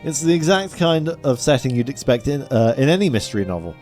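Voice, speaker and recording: A man's voice: mid-range voice, very animated, fairly quick, darkish tone. A man reading a visual novel aloud on stream, breaking off into wry, joking banter. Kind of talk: live playthrough narration with casual banter. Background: music.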